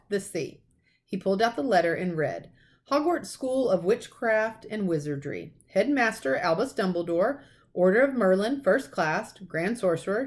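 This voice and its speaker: A woman reading a children's story aloud like a teacher, in expressive narration. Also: mid-range voice.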